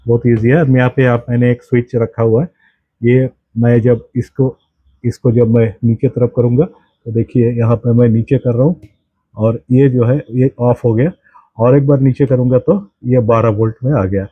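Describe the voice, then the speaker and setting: A man's voice: medium pitch, steady tone, husky, no room sound. Indian man explaining, dull dry recording. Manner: halting, explanatory, repeats and corrects himself. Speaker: presenter of a radio hobby channel. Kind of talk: unscripted product demonstration.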